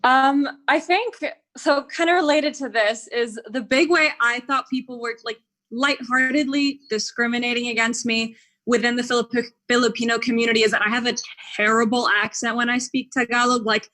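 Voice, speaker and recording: Candid young woman, slightly high. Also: pushed and bright, poor audio. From Dubai. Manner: candid, with lots of "like". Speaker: panel guest. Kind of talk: casual reflective chat.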